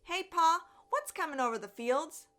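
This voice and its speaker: A woman's voice: very high-pitched, lively intonation, moderate pace, fairly bright tone, pitched above the performer's own voice. A woman voicing a curious, eager little boy in a children's storybook, calling out keenly.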